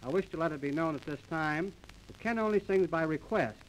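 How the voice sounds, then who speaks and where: A man's voice: a little high, brisk, slight background noise, low-quality recording. A man announcing and trading patter in a 1930s radio comedy, with a mock-formal, wisecracking manner. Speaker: comedy duo host, announcer and straight-faced joker. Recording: early 1930s radio transcription disc.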